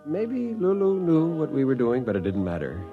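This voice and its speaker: A man's voice: on the high side, huge pitch swings, normal speed, narrow, tinny audio, faint music behind. A man playing a wry, colloquial spaceship crewman who tells his tale in a 1950s science fiction radio drama. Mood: rueful narration. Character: Gus, wry slouching male spaceship crewman telling the tale.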